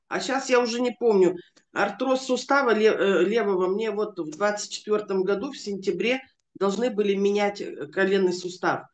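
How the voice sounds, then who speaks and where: An older woman giving an emphatic, talkative personal testimonial, sounding amazed at her own story. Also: medium pitch. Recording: roomy echo.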